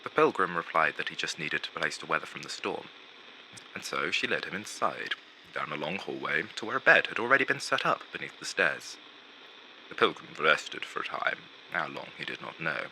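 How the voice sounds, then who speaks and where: Deep, pompous undead storyteller acted by a man. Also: sing-song, slight background noise, full character voice, poor audio. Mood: hushed, grave. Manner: dramatic, pompous, drawn-out delivery with hushed asides. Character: theatrical undead male storyteller, vain, grandly gloomy showman. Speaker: narrator and theatre host. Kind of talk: theatrical storytelling for an animated short film.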